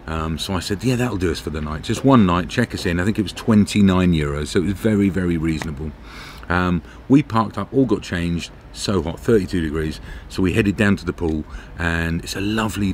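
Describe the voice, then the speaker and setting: Middle aged british guy, low voice, chatty travel vlog, bit of outdoor noise behind him. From Britain. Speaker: travel vlogger. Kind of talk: casual vlog chat to camera. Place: outdoors at a campsite. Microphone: camera at arm's length. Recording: outdoor camera audio with background noise.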